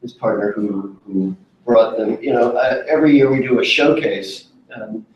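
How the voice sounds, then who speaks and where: A man's voice: mid-range voice, normal speed, dull audio. Encouraging man in an echoey studio. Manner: warm, encouraging, repeats words. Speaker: event organizer. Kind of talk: casual group meeting. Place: large rehearsal studio, indoors. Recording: echoey room, far camera mic.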